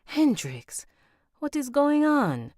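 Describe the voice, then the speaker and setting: A woman's voice: high-pitched, big pitch swings, takes it slowly, a little mellow, no room sound, studio quality, voice pushed up, full character voice. A woman voicing an alarmed older mother with an Italian accent.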